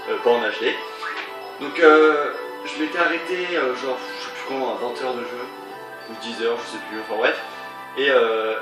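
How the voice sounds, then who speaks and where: A man with a stuffy nose from a cold, giving rambling, hesitant commentary on a game he is playing, with many fillers and restarts. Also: medium pitch. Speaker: let's play gamer. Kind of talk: casual gameplay commentary. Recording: roomy, distant mic, game music behind. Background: game music.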